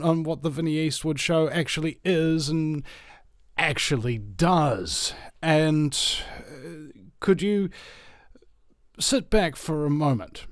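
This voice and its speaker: A man's voice: a little high, no room sound, clean studio sound. A man from New Zealand hosting a solo talk radio show in a rambling, jokey way. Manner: rambling, jokey, many fillers. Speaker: radio host.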